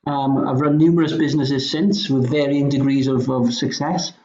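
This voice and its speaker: A middle-aged man with a soft, anglicised Welsh accent, talking casually as a podcast guest in hesitant, stop-start phrases. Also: medium pitch, little pitch movement, normal speed. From Wales, Swansea Valley. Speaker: podcast guest, company founder. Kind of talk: casual conversational talk. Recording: roomy echo, slightly dull sound.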